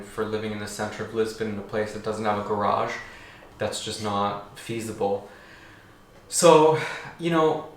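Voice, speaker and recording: A man's voice: on the low side, a bit bright, a little hiss or hum. A man vlogging a casual personal update, talking in a rambling, reflective way with many fillers. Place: apartment. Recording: very echoey room, faint ambience.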